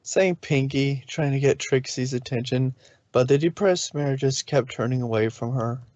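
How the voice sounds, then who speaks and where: A narrator reads fiction aloud at a middling pitch, now and then tripping on a word. The sound is dry and close, a little dull and lo-fi. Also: normal speed, darkish tone, dry recording. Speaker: narrator, fan fiction reader. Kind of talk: storybook narration read aloud.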